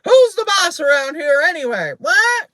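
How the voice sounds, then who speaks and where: A woman voicing a rude, bossy pet parrot with a squawky, shrill voice, repetitive and taunting, in a storybook reading. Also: very animated, moderate pace, bright, forceful tone, dry recording, studio quality, full character voice. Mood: defiant.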